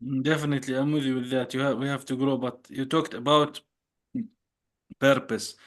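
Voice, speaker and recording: A man's voice: mid-range voice, fairly even intonation, normal speed. A man hosting an interview podcast, reading the guest's introduction with small slips and corrections. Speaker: podcast host.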